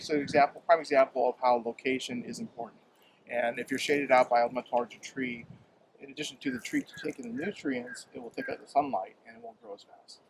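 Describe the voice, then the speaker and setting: A man from Hawaii, a home fruit grower, talking in a halting, casual way, often repeating words and filling pauses with um, recorded outdoors in a yard. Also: mid-range voice, creaky, background noise. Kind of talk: casual interview.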